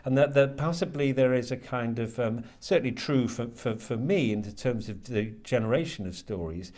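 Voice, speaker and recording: A man with a mid-pitched voice talks as a lecturer being interviewed. He is reflective and halting, often doubling words and circling gradually toward what he means. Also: a little mellow. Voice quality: hesitant, halting delivery with repeated words. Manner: thoughtful, circling toward his point. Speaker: university creative writing lecturer being interviewed.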